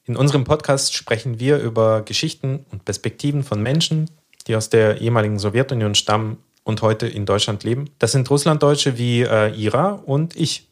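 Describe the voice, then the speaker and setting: A man in his thirties from Kazakhstan, co-hosting a podcast and explaining history in a measured way, pausing often to think. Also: medium pitch. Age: 30s. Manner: measured, explanatory, many hesitation fillers. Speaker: podcast co-host.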